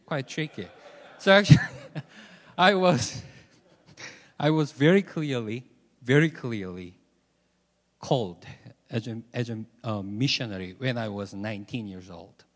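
A man from South Korea, a native Korean speaker with a Korean accent, giving a halting, self-joking testimony as a missionary guest speaker. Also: mid-range voice, animated. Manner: halting, joking about himself. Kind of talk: personal testimony to a church congregation. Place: large church hall, over a PA. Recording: echoey hall, long reverb.

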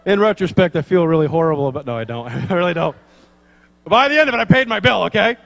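A man's voice: high voice, animated, fast, creaky, a bit bright, long reverb tail. A man preaching a sermon in church, telling funny stories and acting out the dialogue, laughing at his own jokes. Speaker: pastor.

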